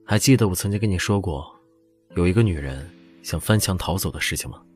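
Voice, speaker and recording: Casting: aloof elder prince, deep-voiced, composed and calculating, audio drama with soft music behind. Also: male voice, expressive, fairly quick. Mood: cautious, composed.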